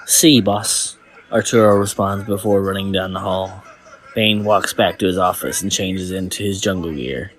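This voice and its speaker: A man's voice: sits low, fairly even intonation, moderate pace. A man reading scripted action fiction aloud as a storyteller. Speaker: story narrator. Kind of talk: scripted narration of fan fiction.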